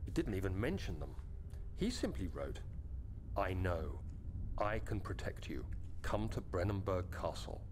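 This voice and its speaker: An uneasy young male scholar reading his diary in a deep, solemn tone, as a man voices him. The reading rises and falls a lot while staying measured. Game music plays clearly underneath. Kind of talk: reading diary notes aloud.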